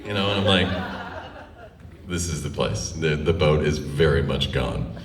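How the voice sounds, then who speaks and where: A low-pitched male storyteller speaks in a roomy, echoing space, picked up from some distance. He recounts a personal tale in a conversational, comic way. His telling is animated, with pauses for effect. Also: a crowd in the background. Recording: roomy echo, distant microphone.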